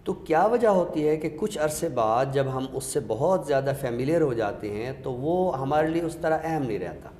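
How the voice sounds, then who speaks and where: A man's voice: on the high side, lively intonation, moderate pace, hardly any pauses, mellow. Man explaining literature in an echoey room. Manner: didactic, explains step by step with examples. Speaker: literary expert guest. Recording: echoey reverberant room with background noise.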